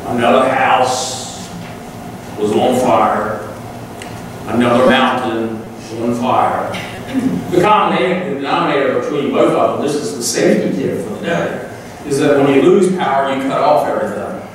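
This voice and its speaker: A man in his sixties delivers a sermon, telling stories in a folksy way with flashes of dry humor. His voice rings through a big, echoey sanctuary and comes from some distance from the mic. A steady room hiss sits underneath. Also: mid-range voice, a little slow. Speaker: pastor. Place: large church sanctuary. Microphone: far camera, room pickup.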